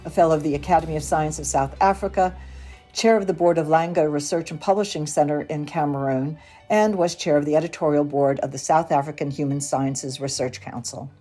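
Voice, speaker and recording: A woman announcer reading a scripted podcast introduction slowly and formally. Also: low voice, fairly even intonation, dark tone. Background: music.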